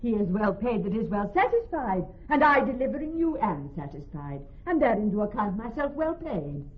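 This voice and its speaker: A woman's voice: mid-range voice, very animated, normal speed, slightly rough. An actress playing a composed, witty woman posing as a young male lawyer, in formal, articulate Shakespearean radio drama style. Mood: courteous, composed. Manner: formal, articulate verse delivery. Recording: dull muffled old radio recording, faint music.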